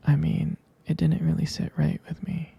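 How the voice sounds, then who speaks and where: A man playing a jealous, devoted boyfriend in an ASMR roleplay, speaking intimately and haltingly in a breathy, husky whisper. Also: a little high, monotone, dark tone, no echo, full character voice. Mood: uneasy, jealous. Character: jealous, possessive, devoted boyfriend soothing his sweetheart.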